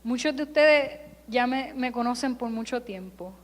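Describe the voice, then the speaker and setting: A woman's voice: a little high, moderate pace. A woman who grew up in California, preaching a Sunday sermon in a church with emphatic, repeated parallel phrases. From California, United States. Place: church sanctuary. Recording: long hall reverb.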